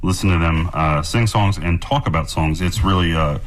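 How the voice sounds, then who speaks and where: A man's voice: sits low, moderate pace. A man, a guest musician on a radio show, talking in a loose, friendly, slightly rambling way. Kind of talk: casual radio studio chat. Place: radio station studio.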